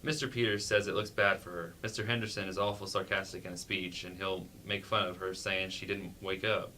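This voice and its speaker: A man's voice: deep voice, level tone, a little fast, pushed and bright. A man playing a timid, dutiful sheriff's wife in an amateur staged play reading, with hesitant, deferential delivery. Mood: hesitant, earnest. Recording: faint background noise.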